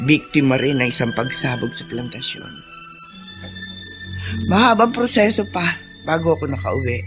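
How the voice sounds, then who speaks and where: An actress playing an older gossipy Filipina housemaid in a radio drama, plain-spoken and full of interjections. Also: female voice, on the low side, huge pitch swings, normal speed, music in the background. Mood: sorrowful. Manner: colloquial, full of interjections and sighs.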